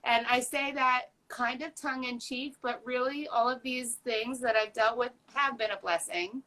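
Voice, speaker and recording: A woman's voice: on the high side, steady tone, moderate pace, bright, forceful tone, rough recording. A woman in her fifties talking candidly as an interview guest, earnest and chatty, with frequent fillers and restarts. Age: 50s. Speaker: patient advocate guest.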